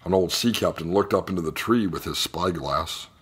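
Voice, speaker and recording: A man reading a children's picture book aloud in an expressive storytelling style. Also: low-pitched, normal speed, slightly rough. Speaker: storybook reader.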